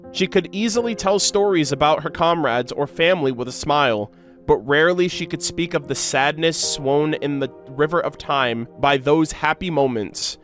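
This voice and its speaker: A man with a fairly high voice talks as the host of a game reaction video. He moves between commentary and reading lore aloud, with music playing behind him. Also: fairly bright tone.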